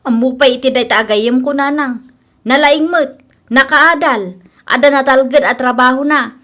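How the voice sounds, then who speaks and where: A woman's voice: sits high, measured pace, a bit bright. A woman playing an earnest, protective young friend who disapproves and frets, in an audio comedy-drama, with an Ilocano accent. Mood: concerned.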